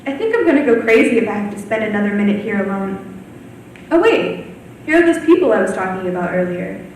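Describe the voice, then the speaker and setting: Animated teenage girl playing a morbid ghost. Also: medium pitch, brisk. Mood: bored, scheming. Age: teens. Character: bored, lonely, gleefully morbid ghost. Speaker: high school student performer. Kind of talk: theatrical dramatic monologue. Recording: very echoey room, distant mic, faint noise.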